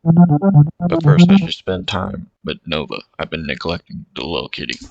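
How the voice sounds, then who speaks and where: Brief: male streamer, deep gravelly voice, reads game text aloud, loud game music behind. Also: wildly swooping pitch, moderate pace, no room sound, muffled recording. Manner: reads the game text, with short asides of his own. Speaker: gameplay streamer reading the visual novel aloud. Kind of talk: reading game dialogue aloud over gameplay. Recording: game music playing loudly underneath.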